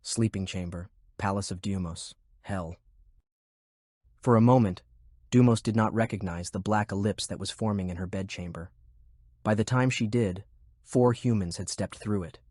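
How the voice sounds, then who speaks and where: A man's voice: lowish voice, normal speed, darkish tone, no echo, studio quality. A man reading scripted narration of military fantasy fiction as an audiobook narrator.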